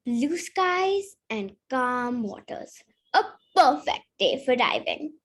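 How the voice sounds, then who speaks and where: A woman with a high-pitched voice reads a picture book aloud for children. Her intonation moves up and down in a lively way. She reads carefully and sometimes stumbles over a word. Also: takes it slowly, husky. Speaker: story reader.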